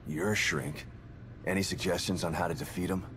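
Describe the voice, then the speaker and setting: A man's voice: low voice, fairly even intonation, a little fast, noisy background. An actor playing a weary, determined father in a video game, talking in a terse, blunt, wry way. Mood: wary, blunt. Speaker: father searching for his daughter in a horror game. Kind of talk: video game cutscene acting. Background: background music.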